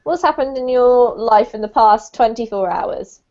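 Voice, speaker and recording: A woman's voice: a little high, a little slow, a little mellow. A woman teaching an online English class, chatty and friendly, with frequent ums, over a video call. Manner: chatty, many ums, laughs often. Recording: dull lo-fi video call audio.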